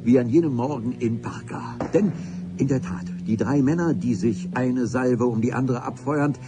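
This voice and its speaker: A man's voice: medium pitch, moderate pace, music in the background, lightly acted. A man playing a grave adventurer narrating his memoir in a radio play, in a literary, mock-solemn manner.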